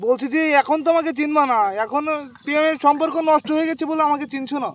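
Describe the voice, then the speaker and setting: A very high-pitched, lovelorn village boyfriend, acted out by a man for a prank call. He pleads and whines, melodramatic and repeating his complaints. The recording has clear outdoor background noise. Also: fast. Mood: pleading. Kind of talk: prank phone call acting.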